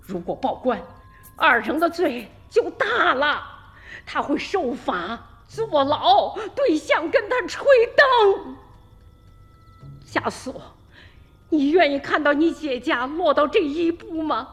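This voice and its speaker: A woman's voice: high voice, very animated, takes it slowly, a bit bright, long reverb tail, exaggerated voice. An actress playing a stubborn old village mother in a radio drama, emphatic and emotional, pleading for her son. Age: older. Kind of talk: Chinese radio drama acting.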